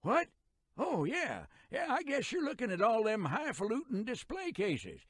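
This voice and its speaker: Raspy old rural American geezer, high voice, wildly animated, folksy game guide. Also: male voice, moderate pace, no echo, heavily acted. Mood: folksy, storytelling. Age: older. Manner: folksy, chuckling, rambling drawl. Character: folksy, teasing elderly male railroad museum caretaker. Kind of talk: character narration for a video game.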